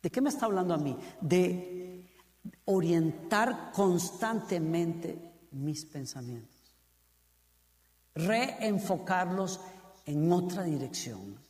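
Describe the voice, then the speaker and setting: A high-voiced man with a Costa Rican Spanish accent preaching a sermon. He drives his points home with repeated phrases and asks the congregation direct questions. His voice rings out with a long reverb in a large hall. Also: lively intonation, takes it slowly, pauses often, a little mellow. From Costa Rica. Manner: building to fervent emphasis with repeated phrases.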